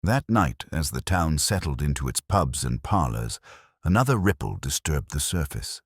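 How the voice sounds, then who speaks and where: A man narrates a scripted detective story in an extremely low voice. His telling is measured and literary, with lively intonation. The sound is dry and close. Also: slightly rough, dry recording.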